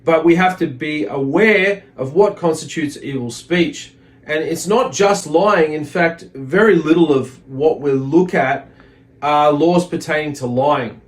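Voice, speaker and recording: A man giving a religious lesson as a teacher, speaking in a deliberate, explanatory way and repeating phrases for emphasis. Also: on the high side. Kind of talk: religious lesson with readings from a text.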